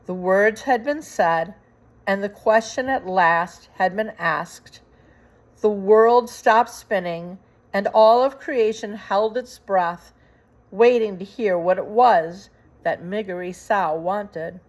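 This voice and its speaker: A woman reading a children's story aloud as narrator, with dramatic, deliberate phrasing. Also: medium pitch. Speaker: storybook reader.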